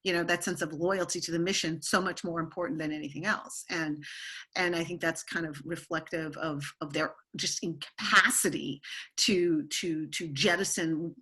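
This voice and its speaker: Woman, podcast host, middle-aged, bright husky voice, animated, chatty restarts. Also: low-pitched, normal speed. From born in Turkey to an American family. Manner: animated, many restarts and asides. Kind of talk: conversational interview.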